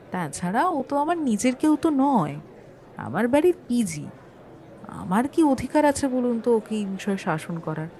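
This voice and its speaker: A woman's voice: fairly high pitch, dark tone. An actress playing a landlady testifying in a courtroom audio drama, chatty, hesitant and a little defensive about her propriety. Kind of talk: Bengali audio-story courtroom acting.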